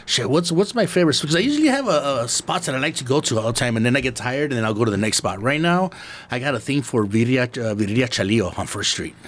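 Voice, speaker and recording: A man's voice: mid-range voice, animated, quick pace, fairly bright tone. A middle-aged man from East Los Angeles with a Chicano accent, chatting casually as a podcast host in slangy, profane English sprinkled with Spanish. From East Los Angeles, born in Mexico. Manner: laid-back street slang peppered with Spanish and swearing.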